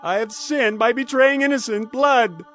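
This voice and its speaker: A man's voice: very high voice, a little slow, fairly bright tone, soft background music. A man playing an agitated biblical character speaking out in a dramatised audio Bible. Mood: anguished. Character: agitated, high-voiced man testifying or confessing.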